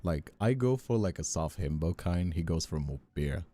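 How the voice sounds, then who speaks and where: Young male streamer from Brazil, dark mellow tone, animated delivery, rambling and self-deprecating casual chat. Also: low voice, moderate pace. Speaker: ASMR voice actor and streamer.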